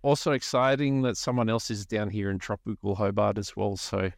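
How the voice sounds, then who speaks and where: A man's voice: on the low side, fairly quick, vocal fry, no echo, clean studio sound. A man with an Australian accent hosting a podcast in a casual interview style. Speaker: podcast host.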